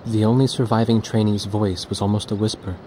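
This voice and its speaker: A man's voice: lowish voice, flat delivery, brisk, dark tone, a little hiss or hum, close to an everyday voice. A man playing a thoughtful war photojournalist, narrating scripted recollections in a video game. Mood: reflective, somber. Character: thoughtful male war photojournalist recounting events. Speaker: narrator, war journalist character.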